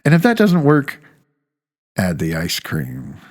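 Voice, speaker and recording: A man's voice: on the low side, wildly swooping pitch, takes it slowly. A man in his fifties reading his own reflective audiobook essay, with wry, emphatic phrasing.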